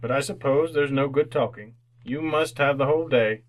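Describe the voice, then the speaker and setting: A man's voice: mid-range voice, moderate pace, close to an everyday voice, poor audio. A man playing a miserly, cantankerous old businessman in an old-time radio drama, with a grumbling, theatrical, sputtering delivery. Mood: grudging, irritable.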